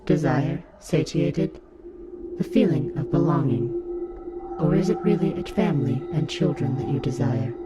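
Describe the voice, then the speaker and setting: A man voicing a calm, seductive fungal hive-mind creature in an audio play, speaking in slow, formal, persuasive phrases. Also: medium pitch, highs cut off, loud music behind the voice, close to an everyday voice. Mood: seductive, promising. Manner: slow, formal, hypnotic rhetorical questioning.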